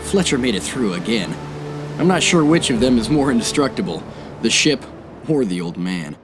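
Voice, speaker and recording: A man's voice: medium pitch, big pitch swings, close to an everyday voice. Earnest young American navy captain, played by a man, music behind. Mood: grave, reflective. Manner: measured, formal, military. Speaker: narrator and protagonist. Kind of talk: scripted war-game narration and dialogue.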